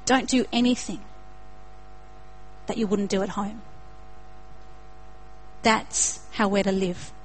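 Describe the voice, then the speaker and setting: A woman's voice: mid-range voice, steady tone, slow pace, pauses often, a little hiss or hum, low-quality recording. A young woman with an Australian accent preaching a sermon in an earnest way, building to emphatic repetition.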